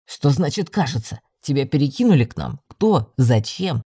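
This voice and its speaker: Woman doing a low, brisk young male werewolf. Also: sing-song, warm tone, slightly rough, no echo, clean studio sound, exaggerated voice. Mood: alarmed, probing. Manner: brisk, probing.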